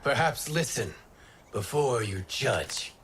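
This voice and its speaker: A man playing a noble, earnest wind god in a video game, speaking in a measured, formal way. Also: medium pitch, expressive, loud music behind the voice. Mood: earnest, urgent. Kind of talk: dramatic video game acting.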